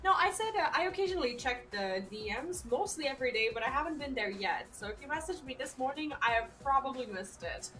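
A woman's voice: sits high, lively intonation, fast talker, hardly any pauses, pushed and bright, recorded from a distance, faint music behind. A young woman from Serbia chatting casually with her live-stream chat and her dog while playing a game at home.

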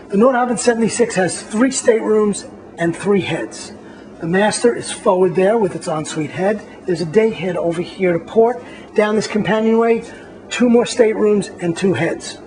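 A man's voice: high voice, a little mellow, slight background noise, rough recording. A man, a magazine editor, walking viewers through a boat and pointing out its features one by one in an upbeat tour narration.

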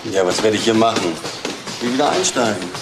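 A man's voice: on the low side, lively intonation, fast, music in the background, natural voice, poor audio. An actor playing a cocky, aggressive man in his late thirties in a crime drama, offhand and evasive. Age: 30s. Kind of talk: German TV crime drama acting. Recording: soundtrack with clear background noise.

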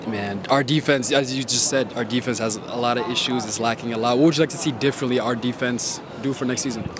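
Calm young male street interviewer. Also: mid-range voice, fast. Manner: calm, sympathetic questioning. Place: city street at night outdoors. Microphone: handheld mic. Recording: crowd noise on a city street.

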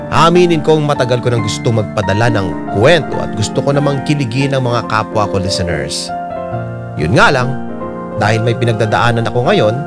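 A man with a Filipino accent narrating a scripted radio drama story as a letter. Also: medium pitch. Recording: loud piano music under the voice. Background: loud piano music.

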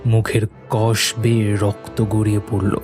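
A man's voice: fairly low pitch, little pitch movement, unhurried. A man narrating a scripted horror cartoon story in a dramatic storytelling style. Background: faint music.

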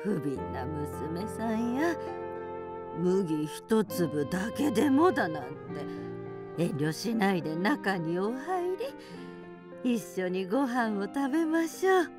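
A woman's voice: medium pitch, very animated, slow, loud music behind the voice. A woman playing a kindly, fussing old grandmother field mouse with old-fashioned phrasing, in a radio drama. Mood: concerned.

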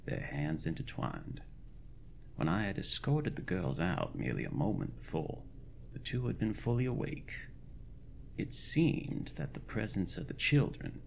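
A man playing a fussy, caring 1920s country doctor, reading his journal in formal, ornate, old-fashioned diction for a horror audio drama, with a deep, gravelly voice. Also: slight background noise, exaggerated voice.

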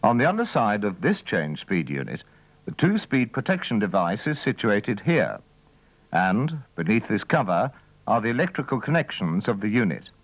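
Male narrator for vintage technical instruction film: methodical, formal, animated pitch movement, dull lo-fi sound. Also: moderate pace, no room sound. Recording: dull, dry old training-film soundtrack.

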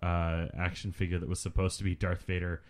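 A man's voice: low voice, flat delivery, a little fast. A man co-hosting a casual film commentary, explaining things in a geeky, profane way. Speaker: podcast co-host.

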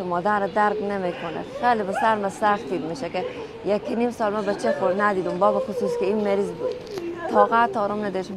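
A middle-aged woman, the subject's mother, speaking in a rushed, emotional outpouring. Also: mid-range voice. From Afghanistan. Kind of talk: emotional testimony.

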